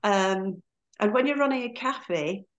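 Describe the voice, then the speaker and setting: A woman's voice: medium pitch, expressive, unhurried. A middle-aged woman from Scotland with an Aberdeen accent, chatting as a guest on a podcast and laughing easily as she talks. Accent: Scottish, Aberdeen. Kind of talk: casual interview chat.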